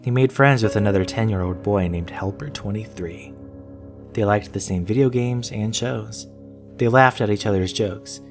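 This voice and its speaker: Low-voiced man narrating over guitar music. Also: moderate pace. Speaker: horror story reader. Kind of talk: scripted first-person narration. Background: plucked guitar music.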